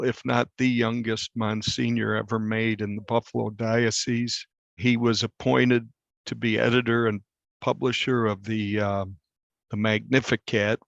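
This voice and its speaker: An older man, a reporter, recalling a story in a conversational interview with measured, halting phrases. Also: medium pitch, gravelly, no room sound, lo-fi sound.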